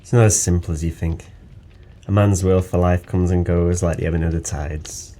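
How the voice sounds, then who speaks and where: A very low voice belongs to a guilt-ridden, hard-drinking ex-sailor acted by a man. He speaks in bleak, theatrical, poetic phrases, as in a radio play. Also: level tone, moderate pace, mellow, lightly acted. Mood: bitter, resigned. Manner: brooding, poetic, theatrical monologue. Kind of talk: audio drama acting.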